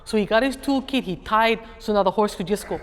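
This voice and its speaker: A middle-aged woman with a Hawaiian accent, speaking as an educator and guest speaker. She tells a story to an audience in an animated way and acts out other people's voices. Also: mid-range voice, a little fast. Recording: echoey hall, faint background noise.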